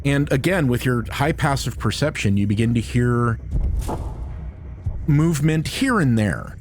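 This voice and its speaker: A man talking and narrating a tabletop game in an emphatic, descriptive way, pausing for drama on key words. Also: medium pitch, expressive, background noise. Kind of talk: animated talk and improvised game narration. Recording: music behind the voice. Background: music.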